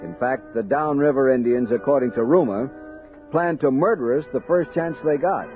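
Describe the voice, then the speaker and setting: An actor playing a thoughtful, commanding American army captain in a 1960s radio drama, measured and authoritative. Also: male voice, mid-range voice, lightly acted. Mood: grave narration. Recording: dull vintage radio recording with music.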